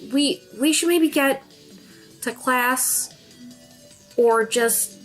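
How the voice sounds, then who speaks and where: A woman playing a cautious, hesitant junior high girl in a tabletop game. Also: very slow, soft background music. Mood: wary.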